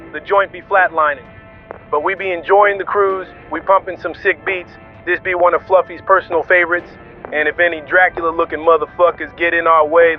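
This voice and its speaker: High-pitched swaggering slangy male radio DJ, acted. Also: close to an everyday voice. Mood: cocky. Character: swaggering, sentimental street-slang pirate radio DJ. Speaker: radio DJ host. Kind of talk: slangy improvised-sounding radio monologue. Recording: phone-like radio band, music behind. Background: music and vehicle noise.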